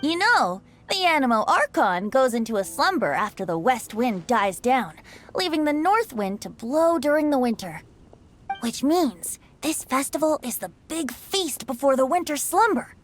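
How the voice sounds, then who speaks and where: A woman's voice: high voice, big pitch swings, moderate pace. A woman voicing a playful, theatrical young male bard in a video game, with a lilting, teasing way of talking. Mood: cheerful. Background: faint music.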